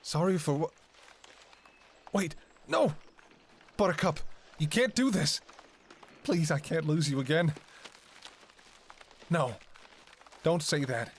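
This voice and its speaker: A man's voice: on the high side, slow, long pauses, husky, exaggerated voice. A man playing a devoted, anxious doctor talking to a lover in an intimate ASMR audio roleplay, with a hesitant, emotionally raw delivery. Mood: desperate, anguished.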